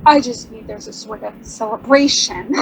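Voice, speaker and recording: A woman's voice: on the high side, unhurried, a little hiss or hum, rough recording. An actress playing a shy young woman sign designer who talks nervously, giggles and apologizes. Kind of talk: stage radio drama acting.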